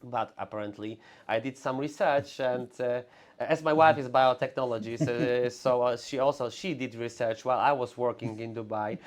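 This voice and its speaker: A man hosting an interview, speaking haltingly with frequent fillers and restarts. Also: medium pitch, steady tone. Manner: hesitant, halting, full of "uh". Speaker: host. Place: clinic in Warsaw.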